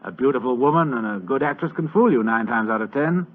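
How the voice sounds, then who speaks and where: An actor playing a fat, lazy, superior British government official in a 1940s radio drama, with a languid, pompous, condescending manner. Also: male voice, medium pitch, expressive, quick pace, close to an everyday voice. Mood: sleepy, superior. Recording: old muffled 1940s radio recording.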